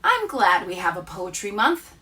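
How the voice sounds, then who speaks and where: A woman teacher reading children's poems aloud with a deliberate, expressive storytelling delivery. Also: medium pitch, far from the mic.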